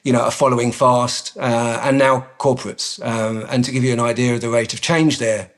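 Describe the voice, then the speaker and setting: A man, a company executive director, answering interview questions in a measured way with frequent ums and restarts. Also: medium pitch, steady tone.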